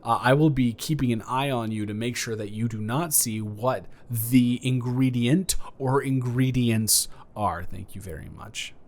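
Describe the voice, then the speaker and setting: A man's voice: medium pitch, unhurried, hardly any pauses, a little mellow, close to an everyday voice. A man improvising a flirty, awkward neighbor in a romantic roleplay audio, stammering and earnest as he talks. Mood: teasing, friendly.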